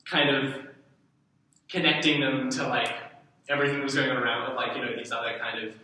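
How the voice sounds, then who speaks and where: Young aussie guy chatting in an interview, kinda hesitant and rambly, bright voice, super echoey and off mic. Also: medium pitch, flat delivery, fairly quick, slight background noise. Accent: Australian. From Darwin, Australia. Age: young adult. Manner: hesitant, self-deprecating, meandering. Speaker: artist and writer being interviewed. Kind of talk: casual interview chat. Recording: very echoey room, distant mic.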